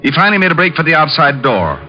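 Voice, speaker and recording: An actor playing a tough, wry private detective in an old-time radio drama, both narrating and trading wisecracks. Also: male voice, fairly high pitch, very animated, quick pace, pushed and bright, full character voice. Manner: wry, sardonic. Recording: dull old radio broadcast with music.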